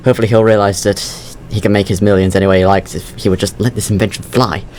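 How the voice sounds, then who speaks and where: An actor playing a nervous, earnest young job candidate in an audio drama, halting and apologetic in his speech. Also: fairly low pitch, level tone, darkish tone, slight background noise, close to an everyday voice. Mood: earnest, flustered. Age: young adult.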